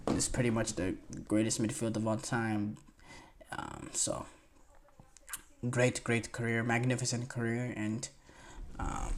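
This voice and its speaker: A man's voice: mid-range voice, a little hiss or hum. Rambling young man hosting a podcast. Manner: rambling, filler-heavy, enthusiastic praise. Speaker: podcast host. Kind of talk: casual solo sports podcast commentary.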